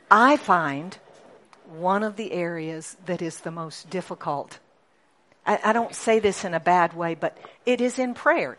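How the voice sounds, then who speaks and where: A middle-aged woman pastor preaching a sermon in a lively, chatty way, often asking the congregation questions. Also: mid-range voice.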